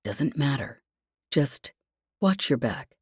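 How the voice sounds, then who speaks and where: A woman voicing a cautious, exasperated man in an audiobook, giving urgent warnings in a hushed, hissing way. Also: a bass voice, sing-song, slow, husky, no echo, clean studio sound, full character voice. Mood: curt warning.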